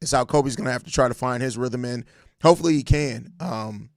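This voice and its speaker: Hoarse american guy doing a solo sports stream, raspy n gravelly, slangy, repeats himself, dry close sound. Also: mid-range voice, fairly quick, darkish tone, dry recording. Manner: slangy, emphatic, repeats phrases. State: hoarse, voice going out from overuse. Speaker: solo sports podcast host. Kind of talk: casual live-stream sports chat answering viewer comments.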